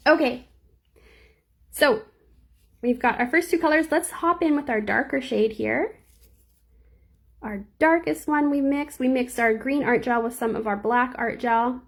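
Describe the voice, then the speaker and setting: A young woman with a slightly squeaky voice, chatting casually through a live nail art tutorial and answering viewers as she works. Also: a little slow, long pauses. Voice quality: a little squeaky.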